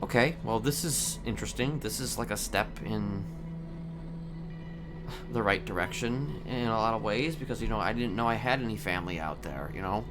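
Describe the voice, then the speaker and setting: Man playing a low-voiced brooding prisoner, music behind. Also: natural voice. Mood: wistful, halting. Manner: halting, deliberate, repeats words. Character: brooding, confiding male prisoner seeking to reform. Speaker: streamer role-playing a character. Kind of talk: improvised in-character storytelling in a crime roleplay game.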